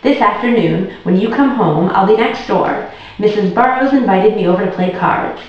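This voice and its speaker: A woman's voice: medium pitch, moderate pace, lightly acted. A woman voicing a firm, fussing but indulgent mother in a children's storybook. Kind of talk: children's storybook read aloud. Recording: very echoey room, distant muffled mic.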